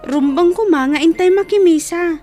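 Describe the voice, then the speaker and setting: An actress with a Filipino accent playing an earnest, pious child in a radio drama, gently reproving a parent. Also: female voice, very high-pitched, normal speed, over music. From Philippines. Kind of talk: Philippine radio drama acting.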